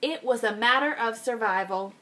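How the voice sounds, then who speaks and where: A woman, a kindergarten teacher, reading a picture book aloud with expressive delivery, slowing down to stress key phrases. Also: a little high.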